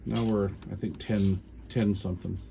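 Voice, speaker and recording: A middle-aged or older American man giving an informal hands-on how-to explanation in an unhurried, halting, folksy manner. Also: fairly low pitch, fairly even intonation, dark tone. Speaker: repair tutorial host. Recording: clear background noise.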